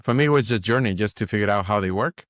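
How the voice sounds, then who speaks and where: A man who is not a native English speaker hosts a casual interview, with plenty of teasing and jokes at his own expense. His voice is low, and the recording is dry and close. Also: fast, no room sound. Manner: teasing, self-deprecating. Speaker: podcast host.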